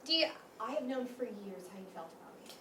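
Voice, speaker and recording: Lady playing a candid hesitant young gal, high voice, roomy far-off stage reading. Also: lively intonation, brisk, close to an everyday voice. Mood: uneasy, frank. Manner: casual, hesitant. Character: frank, hesitant young woman, the object of a crush. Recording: roomy, distant mic, faint noise.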